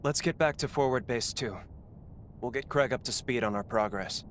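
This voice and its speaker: An actor voicing a guilt-ridden, reckless young male fighter, speaking earnestly and quietly. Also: mid-range voice, normal speed, a little hiss or hum, lightly acted. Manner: earnest, subdued, confessional. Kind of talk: video game voice acting. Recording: game cutscene with music underneath.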